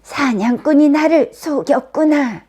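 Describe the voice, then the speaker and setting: A woman's voice: fairly high pitch, sing-song, slow, a little mellow. A woman voicing a vain, imperious wicked queen in a children's fairy tale, theatrical and commanding. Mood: angry.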